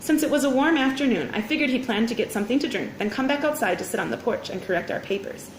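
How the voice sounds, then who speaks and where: A woman reading a children's story aloud in an expressive, dramatic teacher's storytelling manner. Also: a little high, fast talker. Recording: roomy echo, faint background noise.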